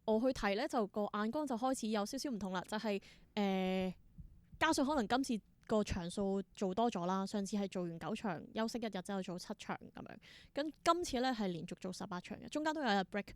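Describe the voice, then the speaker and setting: A woman with a Hong Kong Cantonese accent, telling stories casually as an interview guest, expressive and mixing in English words. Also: mid-range voice, a little fast, no echo. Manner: expressive, exclaims in wonder, mixes in English words. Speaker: interview guest, theatre performer.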